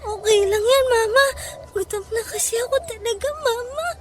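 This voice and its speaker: A woman's voice: very high voice, moderate pace, noisy background. A woman playing a small, innocent, pleading boy in a Tagalog radio drama, with a plaintive, polite, childlike manner. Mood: pleading, tearful. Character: small hungry boy, innocent, pleading, loyal to his mother.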